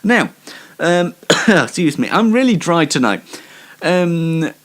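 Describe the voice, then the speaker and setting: Animated, higher-voiced man hosting online. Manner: halting, self-deprecating, wry. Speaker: host of an online show. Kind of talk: casual live show monologue with light news commentary.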